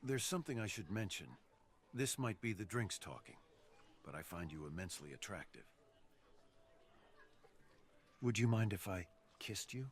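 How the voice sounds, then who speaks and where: Low-voiced, seductive fox assassin played by a man. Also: animated, close to an everyday voice. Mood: smitten, flirtatious. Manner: flirtatious, earnest, dramatic pauses. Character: brooding, seductive male fox assassin, tipsy and smitten. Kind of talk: ASMR roleplay, slow intimate scripted monologue.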